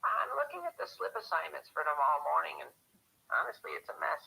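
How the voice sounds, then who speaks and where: An actor playing a harried small-town harbor office worker in a radio drama, chatty and fretful on a telephone. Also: male voice, fairly high pitch, sing-song, quick pace, creaky, sharp tone, breathy edge, heavily acted, low-quality recording. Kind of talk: radio drama phone call.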